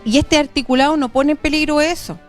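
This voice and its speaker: A woman's voice: high-pitched, steady tone, fast talker, faint music behind. A Chilean woman speaking as an interview guest, explaining and arguing her points in a conversational way. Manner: explanatory, argumentative.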